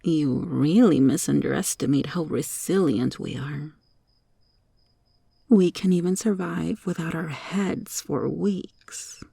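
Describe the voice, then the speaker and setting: A woman voicing a sly, teasing monster cockroach girl in an ASMR roleplay, taunting and coaxing with deliberate, sometimes whispery delivery. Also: low-pitched, creaky, mellow, husky, no echo, full character voice. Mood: mocking.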